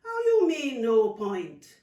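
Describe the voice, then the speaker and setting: Woman doing a big-hearted auntie from a kids story, teasing, stretches words, bouncy pitch, mic far off. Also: wildly swooping pitch, mellow, muffled recording, full character voice. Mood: gently probing. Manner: teasing questions, drawn-out emphatic phrasing, dialect grammar. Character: playful, nurturing aunt, big-hearted and teasing. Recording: roomy, distant microphone.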